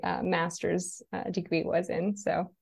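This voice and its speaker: A woman's voice: lowish voice, level tone, unhurried, creaky, husky. Woman, young, Italian accent, interview guest, friendly, hesitant. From Veneto, Italy. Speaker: guest, graduate student and teacher. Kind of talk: casual interview answers.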